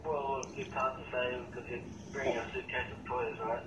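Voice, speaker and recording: A man's voice: mid-range voice, flat delivery, brisk, crowd noise. A man, speaking informally and a little hesitantly on a phone call with a customer, heard through a telephone speaker. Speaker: brothel receptionist. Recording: noisy echoey phone speaker, distant.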